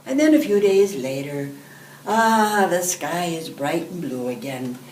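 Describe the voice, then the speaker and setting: An elderly woman reading a picture book aloud to children in an expressive, deliberate storytelling manner. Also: fairly low pitch. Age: 70s or older. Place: indoor room, seated. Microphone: camera a few feet away. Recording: roomy, distant microphone, background hiss.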